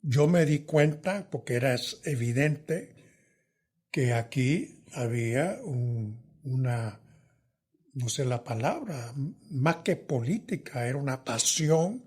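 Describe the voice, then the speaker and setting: An older man, a veteran journalist, answering interview questions in a thoughtful, hesitant way that often trails off softly. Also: mid-range voice, expressive, takes it slowly, slightly rough. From New York.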